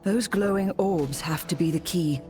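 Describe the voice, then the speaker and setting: A woman voicing a determined, studious necromancer in a fantasy game, serious and measured as she muses aloud. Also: on the low side, darkish tone, faint music behind, lightly acted. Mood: curious. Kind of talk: fantasy game character acting.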